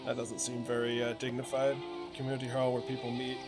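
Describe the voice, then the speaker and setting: A man's voice: medium pitch, completely flat delivery, fairly quick, over loud music. A man reading game dialogue aloud in a theatrical way, occasionally stumbling over words and correcting himself. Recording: noisy recording with clear background sound.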